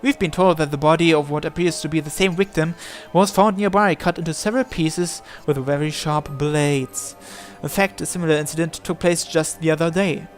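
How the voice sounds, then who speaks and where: A man with a fairly high voice reads story text from a visual novel aloud. He narrates line by line and sometimes trips on a word and repeats it. Music plays behind him. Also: fairly even intonation, normal speed, hardly any pauses. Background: background music.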